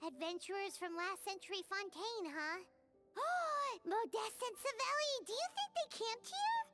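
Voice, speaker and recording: Super high perky little game mascot girl, excitable, very lively, music behind. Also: unhurried, heavily acted. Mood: curious, surprised. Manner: speaks of herself in third person, perky. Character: tiny childlike floating girl companion, excitable, boastful. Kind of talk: anime game voice acting.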